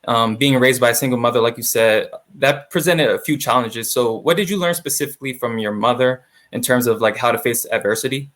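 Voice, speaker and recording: A man's voice: medium pitch, fairly quick, few pauses, fairly bright tone. A young adult man interviewing a guest, reading a scripted introduction and then asking friendly questions with casual fillers. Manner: friendly, uses fillers like "awesome" and "like". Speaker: interviewer, associate producer.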